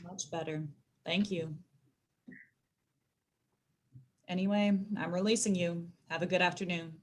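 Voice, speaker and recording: A woman's voice: fairly low pitch, fairly even intonation, normal speed, natural voice. A woman playing a stern, exasperated grocery store supervisor, curt and chiding, in an audio drama.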